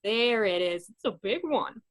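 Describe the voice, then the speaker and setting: A woman's voice: mid-range voice, animated, a little slow, natural voice. A woman playing an easygoing, teasing male babysitter in a comedic radio play. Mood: strained. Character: easygoing, joking man babysitting a baby.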